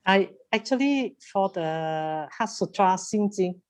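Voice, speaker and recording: A woman's voice: mid-range voice, lively intonation, slow pace. Cantonese-speaking woman on a video call. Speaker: student.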